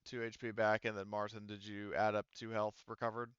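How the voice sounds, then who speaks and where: Male game master, smooth and fairly low, hesitant tabletop narration, dry dull recording. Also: level tone, a bit bright, no room sound. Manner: halting, hesitant, often trailing off and restarting.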